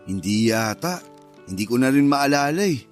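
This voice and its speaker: A man acting a gentle, caring Filipino husband and father in a radio drama. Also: mid-range voice, animated, moderate pace, close to an everyday voice. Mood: light, fond. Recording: music bed under the voice.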